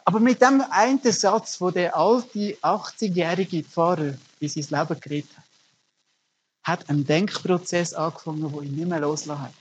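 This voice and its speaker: A man's voice: on the high side, moderate pace, lo-fi sound, slight background noise. A middle-aged man, preaching a sermon as a pastor and telling stories earnestly with emphatic rises. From Germany.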